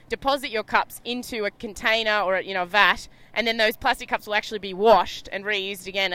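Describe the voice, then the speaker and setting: A woman from Sydney with a loud, bubbly, chatty manner, giving live commentary on a sailing race from a media boat. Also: medium pitch, smooth. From Australia.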